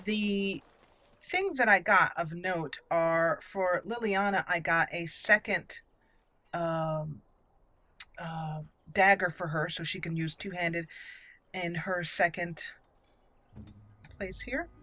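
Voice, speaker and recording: Bright-voiced woman gamer thinking aloud. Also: fairly low pitch, slow pace, long pauses. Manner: chatty, thinking aloud, exclaiming. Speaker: gameplay video host. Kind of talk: casual gaming commentary. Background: game music.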